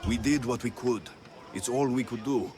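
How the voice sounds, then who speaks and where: An actor playing a gruff, earnest mercenary hero in a video game cutscene. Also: male voice, mid-range voice, moderate pace, a crowd in the background, lightly acted. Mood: steady, concerned. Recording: loud cinematic music behind.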